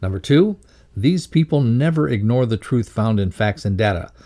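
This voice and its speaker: A man reading scripted educational narration in a measured, instructive way. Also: medium pitch, animated, warm tone. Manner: measured, instructive read-aloud delivery. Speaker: reader of a values lesson.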